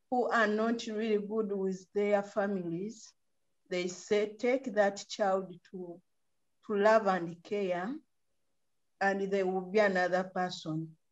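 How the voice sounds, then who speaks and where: A Ugandan woman speaks slowly and earnestly about her ministry over a webcam. Her voice sits at a middle pitch and the call audio sounds muffled and lo-fi. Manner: slow, earnest testimony with soft laughs. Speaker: missionary, orphanage co-founder. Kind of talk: interview on a video call. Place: home room, sharing the frame with her husband. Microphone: video call webcam.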